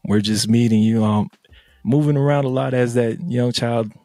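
A man's voice: medium pitch, fairly even intonation, moderate pace, mellow, no room sound, soft background music. A man hosting a podcast, laid-back and casual, asking questions at home on a studio mic over a video call. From United States, Army family. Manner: laid-back, many "you know" fillers. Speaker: podcast host. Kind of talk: casual interview chat. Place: home room, indoors. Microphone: studio mic with headset, video call.

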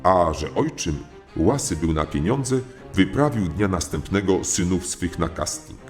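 A man's voice: low-pitched, lively intonation, unhurried. A man narrating a comic audio fairy tale as a formal, courteous storyteller. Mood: measured. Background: music.

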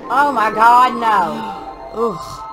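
A middle-aged woman giving casual, excitable reaction commentary, blurting exclamations as she co-hosts a show. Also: on the high side, very slow, over music. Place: small living room, on a couch.

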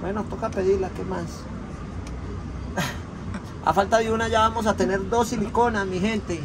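A man's voice: high-pitched, steady tone, unhurried, noisy background. A man in his thirties with a Colombian accent, chatting affectionately while filming his trucks with a phone at arm's length. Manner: chatty and affectionate, full of diminutives. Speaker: trucking company owner and vlogger. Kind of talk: casual vlog walkaround. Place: outdoor truck yard and truck cab. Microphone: phone camera held at arm's length.

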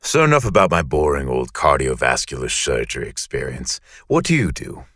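An actor playing a suave, flirtatious, polite male surgeon with a deep, husky voice in a romantic comedy audio drama. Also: wildly swooping pitch, fairly quick, a bit bright, no room sound, studio quality, heavily acted. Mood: charming, curious.

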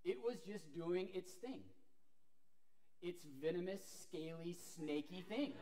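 A middle-aged man preaching a sermon as a pastor, telling an animated story while moving about a church sanctuary. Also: sits high, a little slow. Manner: animated storytelling with wide gestures, walking about.

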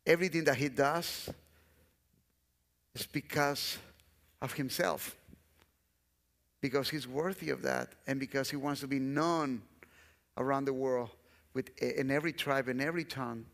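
A man's voice: medium pitch, steady tone, a little slow, vocal fry, long pauses, fairly bright tone. Guest pastor, Guatemalan man, middle-aged, Spanish-accented English, mid-range voice, earnest and repetitive, in a reverberant sanctuary. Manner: earnest, repeats phrases, walks the stage. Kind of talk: sermon. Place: large church stage. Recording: long church hall reverb.

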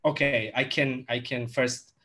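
A man's voice: mid-range voice, steady tone, measured pace, bright, forceful tone. A young man from Moldova, a guest entrepreneur, talking casually on a video call in a home office with many fillers and restarts.

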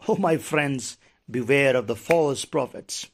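A man's voice: medium pitch, a little slow. A middle-aged man preaching an impassioned sermon as a pastor, with repeated restarts and rising emphasis, recorded on a lapel mic. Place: wood-paneled church pulpit indoors, later outdoors on a hillside.

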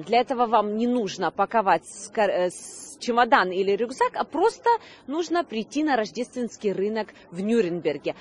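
A woman in her thirties presenting a news report on location outdoors into a handheld microphone, with slightly hesitant delivery. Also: mid-range voice. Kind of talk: on-location reporting, semi-scripted presenting to camera. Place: outdoor Christmas market.

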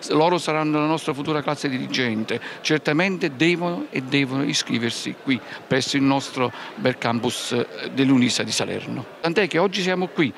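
Gravelly southern Italian man. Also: mid-range voice, moderate pace, hardly any pauses, fairly bright tone. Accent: southern Italian, Campania. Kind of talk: press interview. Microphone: handheld interview microphone. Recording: faint event hall noise.

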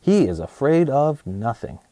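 Animated mellow man reading to kids. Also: medium pitch, unhurried, no echo. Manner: expressive, clearly addressing young children. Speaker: host of a children's read-aloud show. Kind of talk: children's storybook read-aloud and presenting.

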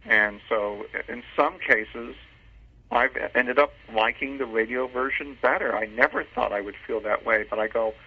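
A man's voice: mid-range voice, unhurried, husky. A man talking as an interview guest in a conversational, emphatic way, acting out his own thoughts. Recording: muffled, tinny phone line.

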